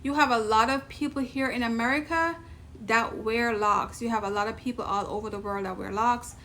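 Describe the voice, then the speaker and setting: A woman's voice: fairly high pitch, brisk, sharp tone, slight background noise. A woman from Jamaica with a Jamaican accent, chatting casually to camera in her vlog, often laughing and adding emphatic asides. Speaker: vlogger.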